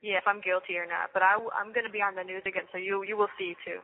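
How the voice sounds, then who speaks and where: A woman's voice: mid-range voice, steady tone, rapid-fire. A young woman chatting casually and slangily over a jail phone line, saying "like" often. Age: young adult. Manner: frequent "like", slangy. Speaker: jail inmate on a phone call. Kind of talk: casual chat. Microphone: telephone.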